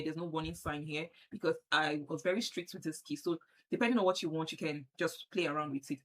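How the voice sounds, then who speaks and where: A Nigerian woman with a Nigerian accent walking viewers through a software setup on screen, often repeating herself as she clicks. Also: deep voice, poor audio. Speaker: tutorial presenter. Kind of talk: screen-share software tutorial.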